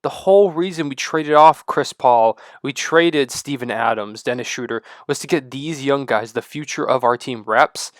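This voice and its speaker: A man with a stuffy, congested voice and low energy, rambling excitedly to the camera at home. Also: moderate pace, few pauses, no room sound, clean studio sound. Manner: loose, rambling, excited fan talk with many fillers. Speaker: sports vlogger. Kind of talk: casual rambling talk to camera.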